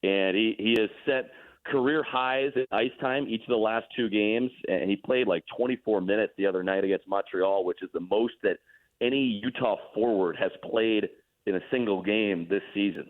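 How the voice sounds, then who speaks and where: Guy on a phone line calling into sports radio, lowish voice, breaks down hockey in a laid-back way. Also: moderate pace. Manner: relaxed, explanatory, self-deprecating. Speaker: hockey play-by-play broadcaster, guest. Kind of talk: casual radio interview analysis.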